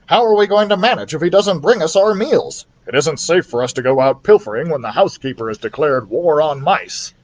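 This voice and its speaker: A man's voice: on the high side, animated, brisk, hardly any pauses, voice pushed up, lightly acted, poor audio. A man voicing a grumpy, ungrateful middle-aged uncle mouse who complains his way through a storybook. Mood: indignant. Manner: grumbling, complaining.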